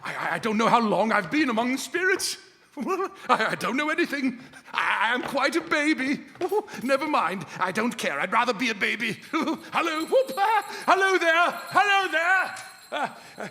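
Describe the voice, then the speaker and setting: A man's voice: very high voice, bright, forceful tone, slight background noise, exaggerated voice. A man playing a reformed old miser in live radio theatre, stammering, exclaiming and full of joy and gratitude. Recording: reverberant hall, live stage performance.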